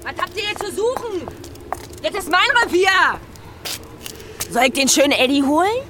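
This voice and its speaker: An actress playing a brash, territorial female streetwalker in a radio drama, loud and confrontational. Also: very high voice, animated, moderate pace, background noise. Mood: aggressive, suspicious. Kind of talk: German radio drama acting.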